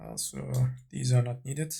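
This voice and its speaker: A man with a gravelly, husky voice gives an unscripted software tutorial. He talks slowly at an average pitch, thinking aloud in a tentative way as he works through each step. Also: steady tone. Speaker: 3D modeling tutorial instructor.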